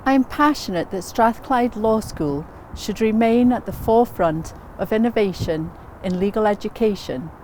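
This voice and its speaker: A woman with a soft, mellow tone delivers a prepared, formal testimonial as an academic director. Her delivery is measured and orderly. Faint noise sits behind the voice. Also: medium pitch, poor audio. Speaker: university course director. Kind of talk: scripted promotional testimonial.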